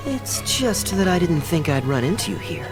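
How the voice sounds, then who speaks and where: An actor voicing a suave, teasing young male knight in a fantasy video game, smooth and playfully eloquent. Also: on the high side, very animated, normal speed. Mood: pleased, teasing. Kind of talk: polished video game character acting. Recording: game dialogue over background music.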